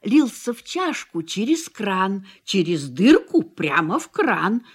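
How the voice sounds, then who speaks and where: An actor reciting comic children's verse in a playful, rhythmic, deliberate way. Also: male voice, high voice, very animated, husky, full character voice.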